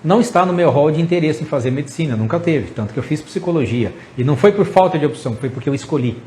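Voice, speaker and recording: A man in his fifties talks straight to camera, earnest and encouraging, stacking up lists and asking the listener rhetorical questions. His voice sits a bit high for a man. A faint hiss runs under the recording. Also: lively intonation, fast talker, dark tone. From Brazil. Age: 50s. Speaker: psychologist host. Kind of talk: motivational talk to camera.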